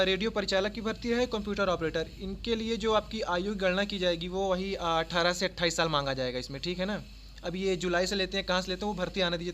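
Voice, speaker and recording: A man's voice: high-pitched, a bit bright. A man from giving an informal, instructional explainer as an online exam-prep presenter, restating points and adding little check-in tags. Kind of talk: informal explainer of job notices. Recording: faint background noise.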